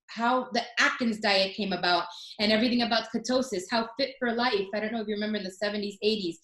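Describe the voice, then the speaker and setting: A woman's voice: on the high side, reverberant, rough recording. A woman in her forties telling her story as a guest in a casual interview, chatty, with many fillers and restarts. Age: 40s.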